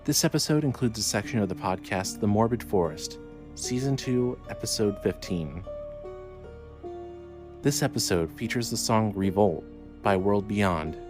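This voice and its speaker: A man narrating a scripted audio drama as its storyteller, reading in a measured way. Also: lowish voice. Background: music.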